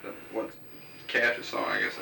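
A man in his late twenties interviewing, talking quickly and stumbling through many restarts. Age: 20s. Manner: quick, halting, full of restarts. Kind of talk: casual oral-history interview. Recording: roomy, distant, hissy tape recording.

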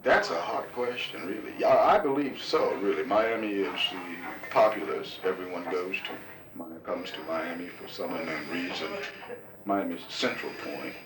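A man of thirty being interviewed, answering in a deliberate, hesitant way with many pauses and fillers. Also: sits low. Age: 30s. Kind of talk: casual oral-history interview. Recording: roomy, distant, noisy tape recording.

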